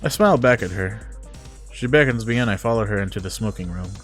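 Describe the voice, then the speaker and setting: A man's voice: on the low side, animated, fast. A man streamer reading a visual novel aloud, breaking off for jokey, mock-disgusted casual asides. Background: music.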